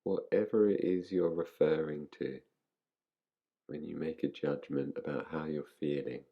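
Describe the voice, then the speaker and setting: A man guiding a relaxation meditation in a calm, unhurried, soothing way, leaving long pauses between phrases. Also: very deep voice, slightly rough, lo-fi sound. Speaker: meditation guide. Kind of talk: guided relaxation, yoga nidra meditation.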